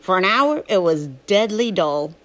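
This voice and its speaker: A woman plays a restless, plainspoken country boy telling his own story from a storybook. The accent is Southern US, folksy and drawling, and the pitch swings freely from phrase to phrase. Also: lively intonation, a little slow, a bit bright. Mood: grumbling, wry. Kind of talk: first-person storybook narration.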